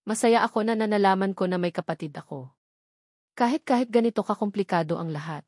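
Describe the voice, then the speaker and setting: A woman with a Filipino accent voicing a gentle, forgiving young sister in an audiobook, earnest and tender. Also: medium pitch, fairly quick, no room sound, clean studio sound, close to an everyday voice. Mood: earnest, hesitant. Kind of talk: audiobook character dialogue.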